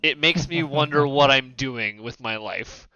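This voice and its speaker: A man's voice: mid-range voice, a little slow, pushed and bright, muffled recording. A man talking casually as a podcast host, giving emphatic opinions with some swearing. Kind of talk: casual opinionated panel discussion.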